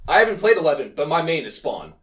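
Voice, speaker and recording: A man hosting a casual chat about games and films, rambling excitably and swearing freely. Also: a little high, fast talker. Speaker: podcast host. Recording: roomy echo, distant from mic.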